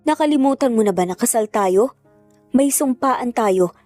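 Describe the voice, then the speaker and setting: Woman reading as estranged father, earnest, intense, protective, mellow timbre, dramatic story, dry recording. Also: a little high, animated, normal speed, no room sound, faint music behind, natural voice. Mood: frustrated, hurt.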